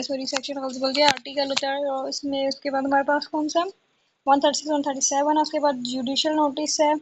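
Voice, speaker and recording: A woman's voice: high-pitched, highs cut off. A woman tutor from Pakistan giving an informal exam-prep lesson, reading through a list repetitively and asking herself questions as she goes. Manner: repetitive, asks herself questions before answering.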